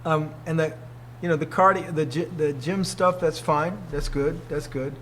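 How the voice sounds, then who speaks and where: An emphatic older man in his sixties teaches a group of students in a loose, conversational way. His voice sits fairly high for a man, and he keeps asking rhetorical questions. A faint hum runs under the recording. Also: fairly even intonation, normal speed, a bit bright. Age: 60s. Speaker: martial arts teacher. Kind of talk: informal teaching talk to students.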